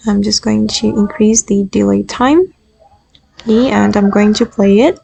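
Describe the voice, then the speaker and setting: A woman hosting a software tutorial, narrating the steps in a casual, instructional way. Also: mid-range voice, a little slow, creaky, faint music behind. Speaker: tutorial host.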